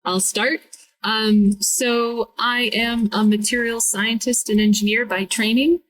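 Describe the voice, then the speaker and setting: A woman in her thirties from Minnesota, a materials scientist answering interview questions in a clear, explanatory way. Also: a little high, steady tone, unhurried, fairly bright tone, recorded from a distance, slight background noise, poor audio. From Minnesota, United States.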